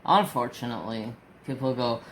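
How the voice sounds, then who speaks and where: A man's voice: mid-range voice, a little fast, fairly bright tone, a little hiss or hum, low-quality recording. A man in his forties chatting casually in a rambling, storytelling way while crafting. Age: 40s. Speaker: crafting vlogger.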